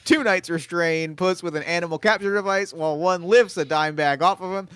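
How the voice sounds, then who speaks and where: A man reading stage directions aloud at a table read, in a quiet, even voice. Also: high voice, a little fast, clean tone, dry recording, studio quality.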